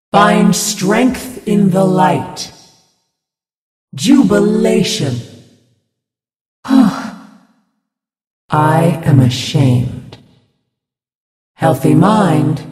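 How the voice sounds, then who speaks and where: A serene, echoing spirit-healer. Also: male voice, high-pitched, full character voice. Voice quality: calm, measured, sermon-like. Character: serene, solemn spirit-healer of a mystic order. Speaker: game character voice. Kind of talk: game voice lines. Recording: echoey with long reverb, distant.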